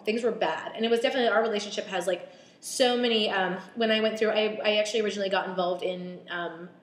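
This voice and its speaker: Chatty young woman in a roomy space. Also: mid-range voice. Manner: chatty, halting, many fillers and restarts. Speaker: podcast guest. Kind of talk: candid podcast conversation. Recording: roomy echo.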